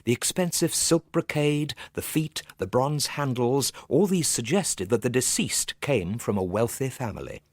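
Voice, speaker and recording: An actor playing a nervous, gloomy Victorian gentleman telling a ghost story in a theatrical radio monologue, full of hushed dread and sudden swells. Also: mid-range voice, expressive, a little slow, hardly any pauses, no room sound, studio quality. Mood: agitated, haunted.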